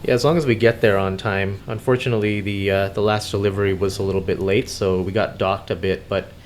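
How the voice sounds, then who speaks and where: A man hosting a gaming video in casual, easygoing chat, with a hesitant, halting delivery. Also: on the low side, steady tone, slight background noise. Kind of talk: casual roleplay chat while driving in a game. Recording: music behind the voice. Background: music.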